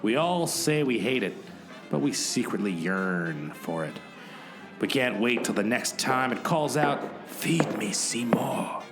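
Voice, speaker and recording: A man's voice: medium pitch, expressive, a little slow, over music. A man playing a weary, world-wise private detective in a hard-boiled radio play, brooding and dramatic. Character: weary, world-wise male gumshoe detective narrating his case.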